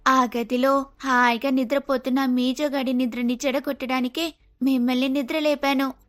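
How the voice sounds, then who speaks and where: A woman's voice: sits high, level tone, moderate pace, soft background music, close to an everyday voice. A woman playing a bold, scheming young daughter-in-law in a cartoon moral story, insistent and dramatic.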